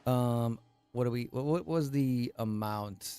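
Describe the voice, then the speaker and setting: A man's voice: mid-range voice, unhurried, dark tone, clear, resonant tone, soft background music. A man livestreaming a game, chatting casually with viewers in a rambling, playful way with plenty of swearing. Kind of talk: casual live commentary with chat.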